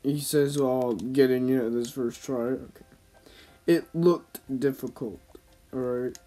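A man's voice: medium pitch, steady tone, slow, warm tone, soft background music. A man giving casual, rambling gameplay commentary in slangy, informal speech, as a gaming YouTuber. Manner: slangy, informal, talking to himself and viewers.

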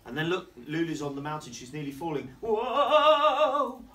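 A middle-aged headmaster reads a picture book to young children, pointing things out with chatty asides. He speaks slowly, with a bright tone and a lively, swooping pitch. He is recorded by a distant camera across the classroom, with faint noise. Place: primary school classroom, indoors.

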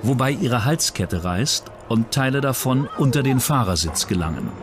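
A man's voice: medium pitch, expressive, normal speed, noisy background. A man reading scripted true-crime documentary narration in pronunciation. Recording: music bed under the voice. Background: background music.

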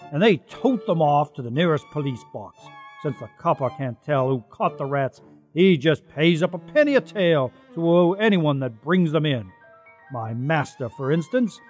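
A man voicing a boastful, grumbling rough tomcat who tells tales in blustering colloquial style for a radio story. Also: on the high side, close to an everyday voice.

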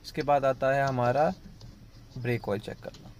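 A man walks viewers through car checks in a plain, explanatory tone, calling them friends. He speaks at a mid pitch, recorded on a handheld phone outdoors beside a car, with a faint hiss of open-air noise behind him. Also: steady tone, normal speed. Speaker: car vlogger. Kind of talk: how-to car maintenance walkthrough.

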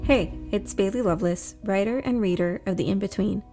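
A woman's voice: medium pitch, a little fast, over music. A woman reading scripted narration as the host and storyteller of a fiction podcast.

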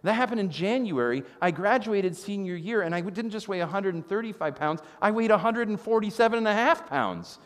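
A man in his thirties preaching a sermon from a church stage, telling stories with animated gestures. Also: high voice, brisk, few pauses. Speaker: pastor. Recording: long reverb of a church hall.

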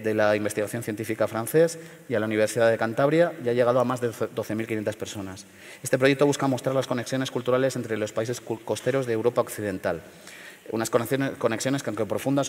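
A middle-aged man from León in Spain, speaking at a panel forum in a measured, hesitant way with many fillers and restarts. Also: mid-range voice, darkish tone, big-room sound. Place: large theater stage.

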